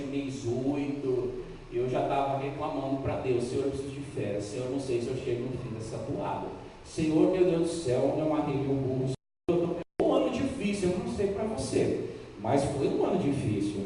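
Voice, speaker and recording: A Brazilian man preaches in a big, heavily echoing hall, picked up from some distance. His voice is fairly high and mellow. He speaks emphatically, building through repeated phrases and pausing for effect. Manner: emphatic, repetitive phrasing with dramatic pauses. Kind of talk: sermon with Bible reading. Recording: echoey hall, distant mic, faint noise.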